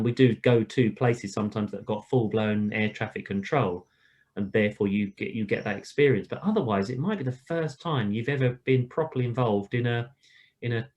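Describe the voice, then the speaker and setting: Informal, reassuring male instructor. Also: lowish voice. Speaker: flight instructor leading a ground school. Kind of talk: informal online lesson. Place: online video call.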